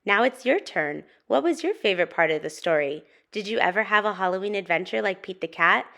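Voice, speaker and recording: A woman hosts a read-aloud for young children. Her delivery is expressive and very animated, moving up and down in pitch as she speaks straight to her young audience. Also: brisk, gravelly. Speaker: storytime host and narrator.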